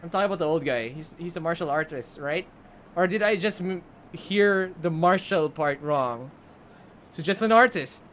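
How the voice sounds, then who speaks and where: A man's voice: a little high, lively intonation, normal speed, a bit bright, a little hiss or hum. A young man giving casual live game commentary into a close microphone, rambling and chatty, often repeating himself. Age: young adult. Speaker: game streamer. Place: indoor streaming setup. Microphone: close desk microphone, headset on. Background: game music.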